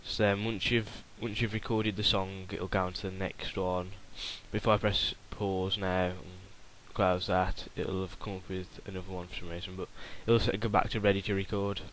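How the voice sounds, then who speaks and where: Low-voiced young man explaining software. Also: little pitch movement, moderate pace, few pauses, a little hiss or hum, poor audio. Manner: rambling, informal, with many fillers and restarts. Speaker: software tutorial host. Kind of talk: casual screen-recorded tutorial.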